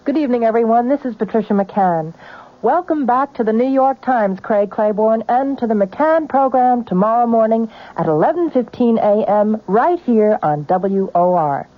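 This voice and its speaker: A woman's voice: on the high side, animated, moderate pace. A woman radio host reading a scripted promo for her program. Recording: tinny narrow-band radio broadcast.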